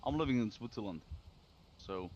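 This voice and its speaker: A man's voice: on the low side, expressive, moderate pace, darkish tone, dull audio, slight background noise. A man chatting casually as a guest in a voice call, swearing freely, laughing and restarting his sentences. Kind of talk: casual voice chat. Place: home room. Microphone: desk microphone.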